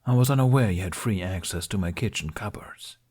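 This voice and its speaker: A man's voice: low voice, brisk, darkish tone, breathy edge. A man reading the part of a proud, reserved Jedi master in a story, terse and formal. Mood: stiff, indignant.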